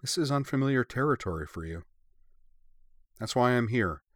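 Man reading as a sombre, gentle girl, slightly raised voice. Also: mid-range voice, expressive, normal speed, no room sound, studio quality, voice pushed up, close to an everyday voice. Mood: sombre, reassuring. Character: young grown-up-sounding girl, sombre, gentle, reassuring. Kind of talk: audiobook character dialogue.

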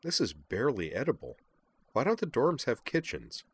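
A man's voice: mid-range voice, wildly swooping pitch, normal speed, slightly rough, voice pushed up, heavily acted. A man doing a weary, guarded teenage girl. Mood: subdued. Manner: subdued, quiet.

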